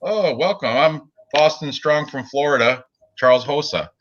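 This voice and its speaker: A man's voice: a little high, moderate pace, a bit bright, lo-fi sound. A man in his forties hosting a casual sports betting chat, rambling with lots of fillers and frequent laughs. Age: 40s. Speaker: podcast host.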